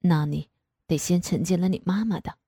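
A woman voicing an indulgent middle-aged father, lowering her voice to a husky tone. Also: moderate pace, warm tone, no room sound, clean studio sound. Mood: coaxing.

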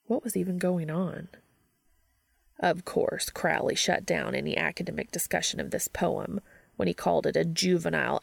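A woman's voice: lowish voice, creaky, breathy edge, no echo. A woman reading scripted fiction aloud as a narrator.

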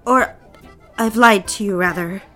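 An actress playing a conflicted, lovestruck young bride-to-be in a romantic period audio drama, speaking earnestly in measured, formal phrases. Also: female voice, mid-range voice, sharp tone, soft background music. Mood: regretful, resolute.

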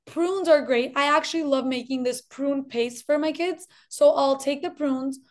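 A woman's voice: sits high, normal speed. A woman in her thirties from Colombia with a slight Colombian Spanish accent, explaining things as a dietitian guest in a friendly way. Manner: friendly, explanatory, repeats for emphasis. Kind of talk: explanatory interview talk.

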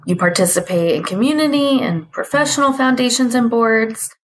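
A woman's voice: on the high side, normal speed, a little hiss or hum, poor audio. A young woman hosts a podcast, reading a scripted intro and then asking friendly, upbeat interview questions. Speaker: podcast host.

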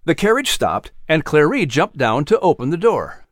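Expressive audiobook narrator, dry close sound. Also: medium pitch, normal speed, no echo.

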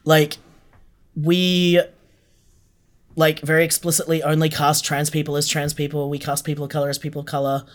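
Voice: mid-range voice, little pitch movement, normal speed, long pauses. An interview guest talking casually and earnestly, with informal, frank phrasing. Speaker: interview guest, audio drama maker.

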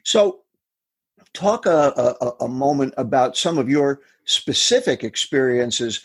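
A man's voice: medium pitch, animated, slow pace, breathy edge. A man hosting an interview, asking long encouraging questions that prompt his guest to tell his story. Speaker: interview host.

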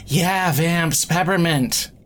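An actor playing a flippant, guarded young magic-user in an audio drama, casual and profane, with a halting, sardonic delivery. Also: male voice, on the high side, a bit bright, a little hiss or hum. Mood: teasing, cocky. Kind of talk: naturalistic audio drama acting.

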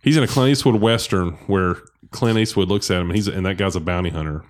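A low-voiced man rambling through a movie recap. It comes with many restarts and self-corrections. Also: fast. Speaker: podcast co-host. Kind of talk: casual movie discussion.

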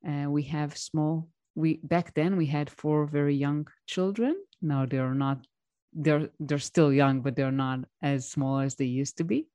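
Woman, low and dry. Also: fairly even intonation, a little slow, dark tone, dry recording. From Israel. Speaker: guest, tech mentor. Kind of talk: interview answers.

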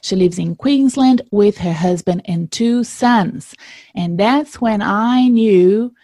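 A woman's voice: medium pitch, takes it slowly, dark tone, no room sound. Upbeat middle-aged woman, career podcast host, close dry mic, mid-range voice. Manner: upbeat, enthusiastic. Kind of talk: live podcast introduction. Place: live event in Melbourne.

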